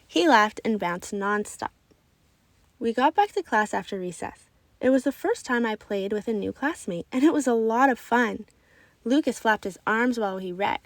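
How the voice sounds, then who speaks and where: A woman reading a children's story aloud in expressive, scripted narration. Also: a little high, moderate pace, dry recording. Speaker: storybook reader.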